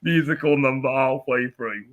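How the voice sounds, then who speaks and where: A man hosting a film podcast, chatting casually and haltingly, with false starts along the way. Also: mid-range voice, muffled recording.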